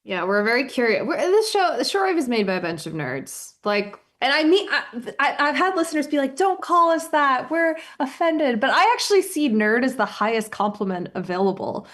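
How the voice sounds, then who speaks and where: A woman's voice: sits high, big pitch swings, normal speed, few pauses, fairly bright tone. A woman podcast host explaining science in a conversational, informal and emphatic way.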